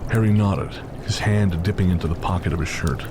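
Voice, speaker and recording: A man narrating a scripted audio drama in a measured, literary storytelling manner. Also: deep voice, noisy background. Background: music and rain.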